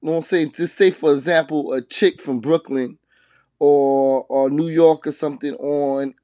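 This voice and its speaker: Slangy man hosting a hip-hop interview, higher-pitched. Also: fairly even intonation, moderate pace, warm tone, no room sound. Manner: slangy, repeats words and phrases. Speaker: podcast host interviewer.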